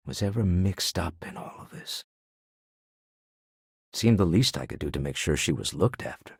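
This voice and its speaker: An actor playing a wealthy, urbane older businessman explorer in a video game, speaking in a refined, measured way with dry wit. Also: fairly low pitch, husky, no room sound, heavily acted. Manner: refined, measured, dryly witty phrasing. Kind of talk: video game character dialogue.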